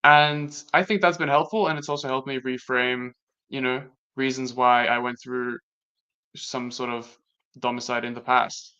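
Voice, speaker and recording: A young man from Latvia, with Latvian as his first language, speaks in a casual conversation. His voice is bright and forceful, and his pitch sits in the middle. He talks reflectively and haltingly, restarting often, and the recording sounds dull and lo-fi. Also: fairly even intonation, clean tone. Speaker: guest.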